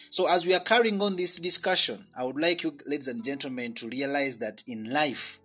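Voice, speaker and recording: A man's voice: medium pitch, pushed and bright, breathy edge. A man hosting a faith radio chat show, earnest and emphatic, praying and welcoming listeners. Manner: earnest, slow and emphatic, with swells in volume. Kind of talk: preaching and prayer, then hosting a chat.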